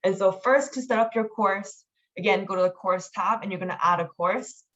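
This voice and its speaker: A woman leading an online training meeting, explaining steps informally in a casual, halting way over lo-fi call audio. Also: mid-range voice, a bit bright. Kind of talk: informal instructional walkthrough with slides.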